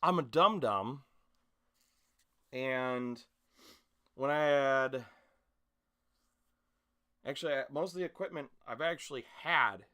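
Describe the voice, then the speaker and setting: A man's voice: medium pitch, takes it slowly, long pauses, pushed and bright. A man chatting casually to camera in an easygoing, self-deprecating, rambling way as an amateur voice actor.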